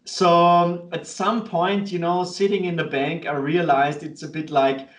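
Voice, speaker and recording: A man from Vienna with an Austrian accent, speaking as a guest in a casual interview and often pausing with fillers and restarts. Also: a little high, flat delivery, roomy sound, far from the mic. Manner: hesitant, many fillers and restarts, laughs easily. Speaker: guest, fund manager.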